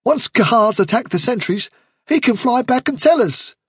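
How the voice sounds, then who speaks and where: A man's voice: very high voice, lively intonation, moderate pace, slightly rough, no echo, studio quality, pitched above the performer's own voice, exaggerated voice. A man voicing a clever male rabbit adviser in an audiobook, earnest, insistent and carefully reasoning. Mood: earnest urging.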